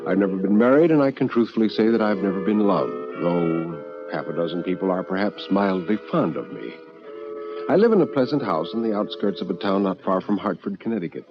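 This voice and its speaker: A man playing a meek, self-deprecating bachelor, telling his story as measured, wistful first-person radio narration. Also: low voice, expressive, muffled recording. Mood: resigned, wistful. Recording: 1950s radio recording with music underneath.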